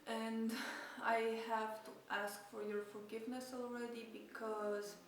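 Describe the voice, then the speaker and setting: A woman's voice: fairly high pitch, level tone, unhurried, noisy background. A shy woman from Romania, whose first language is Romanian, speaking English with a Romanian accent and laughing nervously between phrases. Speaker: co-host asking questions. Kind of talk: shy casual talk to camera. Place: at home. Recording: very echoey room, distant mic, loud music. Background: music.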